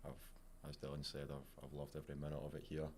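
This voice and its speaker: A man's voice: extremely low pitch, completely flat delivery, brisk, darkish tone, slight background noise. A young man with a Glaswegian accent, a student soccer captain giving brief, plain interview answers in a college studio. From Scotland. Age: young adult.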